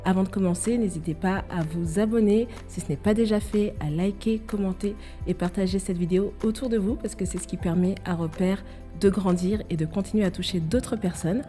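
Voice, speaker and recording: A woman presents and interviews as a podcast host. Her voice is warm and dark-toned, sitting at a middle pitch, and her manner is welcoming and keen. Faint music plays behind her. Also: brisk. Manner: welcoming, enthusiastic.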